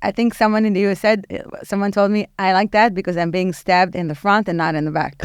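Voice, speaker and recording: Frank woman, smooth voice. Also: medium pitch, normal speed, no echo, clean studio sound. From Israel. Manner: candid, frank and animated, with many fillers. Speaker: podcast guest, nonprofit executive director. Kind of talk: casual interview talk.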